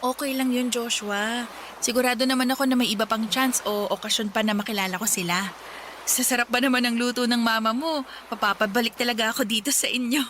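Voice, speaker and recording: An actress as a high-pitched, giggly young woman, noisy recording. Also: steady tone, brisk, a bit bright, natural voice. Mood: gushing, grateful. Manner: gushing, giggly. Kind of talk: radio-style love story drama acting.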